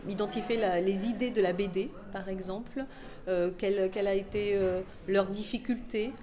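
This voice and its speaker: A woman's voice: mid-range voice, fairly even intonation, normal speed, mellow. A woman teacher explaining informally, with some hesitation as she goes.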